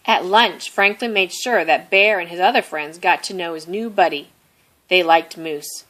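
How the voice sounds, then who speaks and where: A woman reading a children's story aloud expressively, deliberately stressing phrases. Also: on the low side, fairly bright tone. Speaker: storybook reader.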